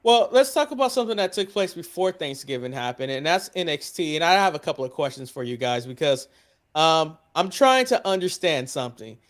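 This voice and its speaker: American man, high bright voice, blunt podcast host. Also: very animated, a little fast. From United States. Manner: blunt, profane, questioning. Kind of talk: casual opinionated sports chat.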